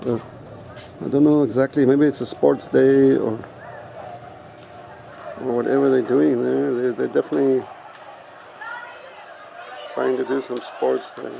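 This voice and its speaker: A man's voice: mid-range voice, flat delivery, unhurried, gravelly, pauses often, background noise. A native Afrikaans-speaking man vlogging outdoors in a casual, rambling and blunt way, swearing now and then. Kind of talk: casual rambling vlog talk.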